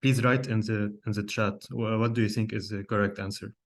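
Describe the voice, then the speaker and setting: A man's voice: lowish voice, little pitch movement, brisk. A young man from Lebanon, a cardiologist, giving a live online lecture over a video call, hesitant with many fillers and restarts and questions to his audience. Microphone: computer mic on a video call.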